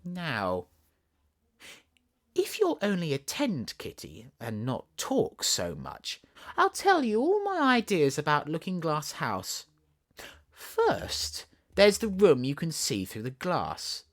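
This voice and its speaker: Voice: mid-range voice, wildly swooping pitch, slow, exaggerated voice. A storybook narrator with a Southern English accent voicing a chatty, imaginative, bossy little girl who is playful and full of wonder. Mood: eager, confiding.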